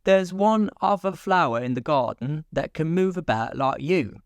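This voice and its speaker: A man's voice: fairly high pitch, animated, normal speed, dry recording, pitched above the performer's own voice. A man doing a haughty, severe rose lady. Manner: haughty, critical.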